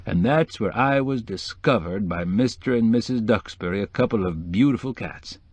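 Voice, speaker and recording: A man's voice: fairly low pitch, normal speed, a little mellow, no room sound, lightly acted. A man voicing a witty, flamboyant decorator in an audiobook, sprinkling French phrases into hip slang. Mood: gleeful, relishing. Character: flamboyant, witty male interior decorator, sly and gushing. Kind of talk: acted audiobook dialogue.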